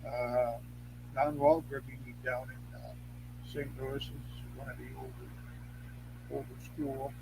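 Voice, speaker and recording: An older man chatting casually and reminiscing in a rambling, halting, anecdotal way. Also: mid-range voice. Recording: clear background noise and hum.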